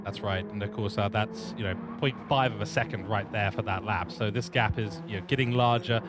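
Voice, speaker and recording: A man calling a sim race live as lead commentator, lively and playful, with self-deprecating asides. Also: fairly low pitch, a little fast, music in the background. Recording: steady background noise.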